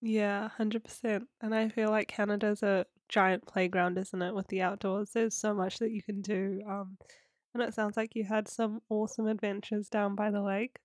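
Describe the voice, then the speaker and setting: A woman's voice: medium pitch, flat delivery, moderate pace, a little mellow, no room sound, studio quality. A young woman hosting a travel podcast, upbeat and reading a scripted intro and ad. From New Zealand. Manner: upbeat, listing things in short phrases. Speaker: podcast host. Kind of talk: scripted intro and ad read, then casual interview.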